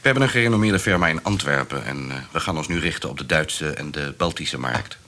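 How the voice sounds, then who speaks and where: An actor playing a sly adventurer posing as a merchant in a Dutch historical radio play, businesslike and unhurried. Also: male voice, rumbling low, lively intonation, bright, forceful tone, full character voice. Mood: smooth, calculating.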